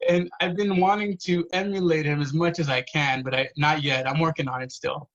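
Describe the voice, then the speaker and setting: A man's voice: a little high, steady tone, a little fast, fairly bright tone, highs cut off. A man, a poet guest on a podcast, telling family stories earnestly, swearing freely and saying "you know" a lot. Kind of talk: casual podcast conversation.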